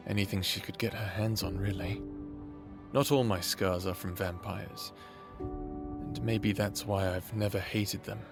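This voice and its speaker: A man acting a gentle, awkward, sincere human in an audio drama monologue, hesitant and confiding. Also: on the low side, fairly even intonation, unhurried, gravelly. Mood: frank, subdued. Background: music.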